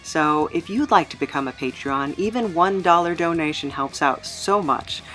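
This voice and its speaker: A woman's voice: low voice, moderate pace, fairly bright tone, soft background music. A woman hosting a podcast, talking casually as an interviewer. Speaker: podcast host.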